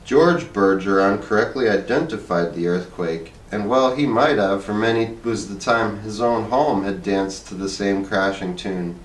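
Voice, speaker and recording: A man's voice: fairly low pitch, a little slow, hardly any pauses. A man reading a short story aloud as scripted narration. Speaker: story reader. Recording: echoey room, distant microphone.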